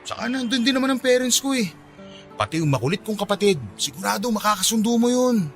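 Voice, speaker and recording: A man playing a kind, persistent, bashfully smitten young office junior in a Tagalog radio drama, speaking gently and coaxingly. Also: high-pitched, animated, fast talker, faint music behind. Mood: persuasive.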